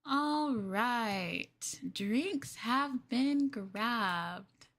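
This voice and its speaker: A woman's voice: on the high side, lively intonation, extremely slow, a bit bright, exaggerated voice. A woman playing a sweet, coy, possessive yandere girlfriend in an ASMR roleplay, with a doting, hesitant way of talking. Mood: affectionate, coaxing.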